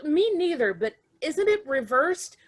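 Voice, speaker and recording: Woman as a puzzled meeting attendee; high voice, echoey room. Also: big pitch swings, unhurried.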